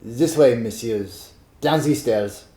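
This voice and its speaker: A man's voice: medium pitch, slow pace, reverberant, noisy background, lightly acted. An actor playing a proud, courteous young French nobleman fallen on hard times, with a French accent, in a radio drama. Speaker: Vicomte de Verlon.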